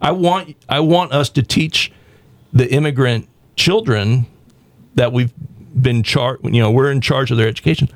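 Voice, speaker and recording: A man from the American South hosting a talk show, speaking bluntly and provocatively with halting restarts. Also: mid-range voice, no room sound. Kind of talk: opinionated radio talk.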